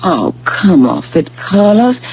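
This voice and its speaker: A woman's voice: medium pitch, very animated, slow, creaky, darkish tone, husky, heavily acted. An actress playing a headstrong, ambitious young female graduate student in an old-fashioned radio drama, emphatic and self-assured. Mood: defiant, impatient. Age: young adult. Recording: dull, hissy old radio broadcast with hum.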